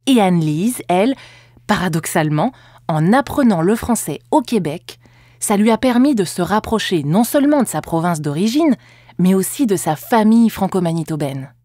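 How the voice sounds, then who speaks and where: A woman narrating a scripted podcast segment in a smooth, even read. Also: medium pitch, normal speed, no echo, clean studio sound.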